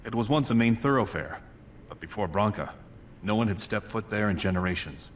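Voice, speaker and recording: An actor playing a scheming, courtly dwarf prince in a video game, speaking formally and persuasively in measured phrases. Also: male voice, fairly low pitch, a little hiss or hum, close to an everyday voice. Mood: smooth, commanding. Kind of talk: video game dialogue acting.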